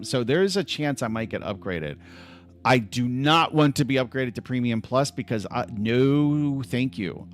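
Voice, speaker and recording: A man hosting a casual livestream from home on his laptop, rambling and restarting his sentences with lots of "like.". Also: medium pitch. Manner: rambling, restless, full of restarts and "like". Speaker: livestream host.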